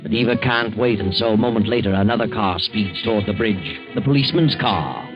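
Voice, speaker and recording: A man's voice: on the low side, brisk, natural voice. Casting: male actor for insinuating 1940s suspense narrator, knowing and dramatic, prominent music behind, dull vintage sound. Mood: ominous. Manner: dramatic, insinuating rhetorical questions. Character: ominous, knowing male mystery storyteller.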